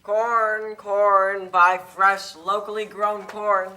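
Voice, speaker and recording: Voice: medium pitch, flat delivery, extremely slow, bright, forceful tone. A performer voicing a weary, struggling small corn farmer in a puppet show, earnest and plaintive.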